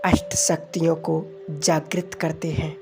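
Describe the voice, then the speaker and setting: Over clearly audible music, a woman leads a guided meditation. Her voice is low and her pace very slow. She speaks soothingly and devotionally in short, measured phrases, leaving long pauses between them. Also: flat delivery.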